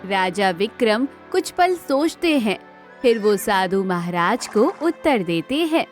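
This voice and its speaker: A woman's voice: mid-range voice, very animated, unhurried, soft background music. A woman narrating a scripted children's moral story as the storyteller.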